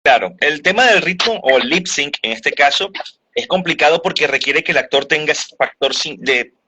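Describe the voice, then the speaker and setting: Bright, husky-voiced man explaining dubbing. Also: a little high, lively intonation, moderate pace. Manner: reflective, explains at length with restarts. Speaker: voice actor and dubbing teacher. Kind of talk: casual interview talk.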